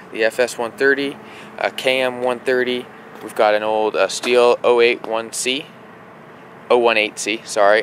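A young man giving a casual how-to vlog while working in a workshop garage, relaxed and full of uh and um. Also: lowish voice, a little hiss or hum, low-quality recording. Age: young adult. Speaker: vlogger doing equipment maintenance. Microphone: action camera close up.

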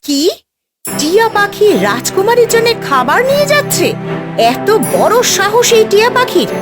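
A woman's voice: very high voice, very animated, moderate pace. A woman voicing a scheming, melodramatic wicked queen in a fairy-tale cartoon, theatrical and exclamatory. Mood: scheming outrage. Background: loud background music.

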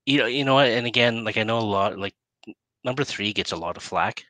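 A man's voice: on the low side, quick pace, sharp tone, dry recording. A middle-aged man chatting casually as an interview host in a rambling, self-interrupting way. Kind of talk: casual chat. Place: indoor room, video call. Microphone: headset.

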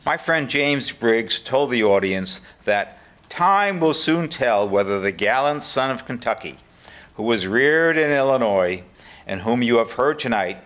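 An eyewitness to political history, played by an older man recounts events in a staged radio play. The male voice is medium in pitch, with a measured storytelling delivery. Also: a bit bright. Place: indoor hall with seated audience. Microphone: lectern microphone.

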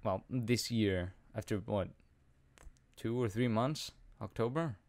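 An eighteen-year-old man from Iceland hosts a relaxed home Q&A, speaking slowly in a somewhat low voice. He rambles, loses his thread, and laughs at himself between ums. The sound is dry and close, from a studio mic in a small room. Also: dry recording. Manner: rambling, self-deprecating, lots of ums and laughs. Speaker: channel host answering viewer questions. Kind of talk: casual Q&A chat. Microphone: studio condenser mic with pop filter, wearing headphones.

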